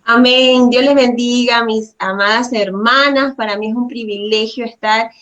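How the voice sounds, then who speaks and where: A woman speaks in a fairly high voice as a guest. She gives personal testimony courteously and devoutly, conversational, often checking in with little tag questions. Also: steady tone, measured pace, a bit bright, highs cut off. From Venezuela. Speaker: guest, pastor's wife.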